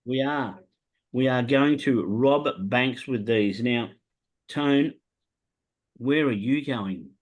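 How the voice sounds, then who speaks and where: An Australian man hosts a laid-back racing chat and talks up his tips like a salesman. His pitch sits mid-range, and he stumbles through plenty of "um"s as he goes. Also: slow pace, long pauses, lo-fi sound. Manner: animated salesman pitch, many "um" fillers. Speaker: racing tipster host. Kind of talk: casual podcast chat about horse racing.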